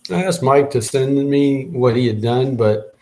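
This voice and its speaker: Guy rambling thru a casual update on his own show, mid-pitch, thinking out loud as he goes. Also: fairly even intonation, measured pace, low-quality recording. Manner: rambling, thinking aloud with restarts. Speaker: podcast host and rap artist.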